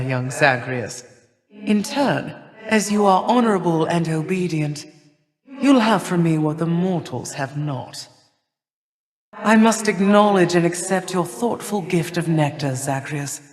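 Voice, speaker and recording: An imperious elder goddess of winter, rings out in a long reverb. The delivery is stately and cold, low for a goddess yet never hurried, with formal, old-fashioned phrasing and a haughty, grudging temper suited to a mythic video game. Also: female voice. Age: older. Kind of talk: video game character voice lines.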